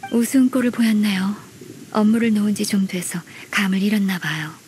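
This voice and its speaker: A woman voicing a polite, dutiful young secretary in an anime-style game, formal, measured and firm. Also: mid-range voice, darkish tone, slight background noise, lightly acted. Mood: composed.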